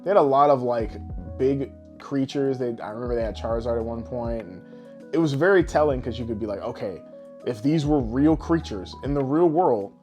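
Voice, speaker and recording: A man co-hosting a podcast, chatting casually and bluntly, with animated asides as he tells things. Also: mid-range voice, a little fast, faint music behind. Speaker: podcast co-host. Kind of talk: casual podcast chat about films.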